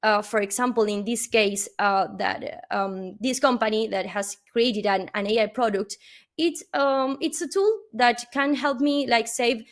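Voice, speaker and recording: Give me an Argentine woman, a native Spanish speaker, giving a conference talk in a somewhat high voice. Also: a little slow. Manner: earnest, often adds a questioning "no?" after points; frequent hesitations and fillers. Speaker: chief data officer giving a webinar talk. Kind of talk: conference presentation.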